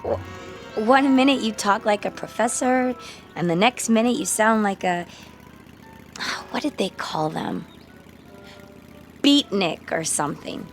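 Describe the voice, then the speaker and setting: A woman's voice: medium pitch, lively intonation, a little slow, pauses often. A young woman playing a frank, curious schoolgirl in a radio drama, casual and wry in manner. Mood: wry, bemused. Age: young adult. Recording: music bed and vehicle sounds behind.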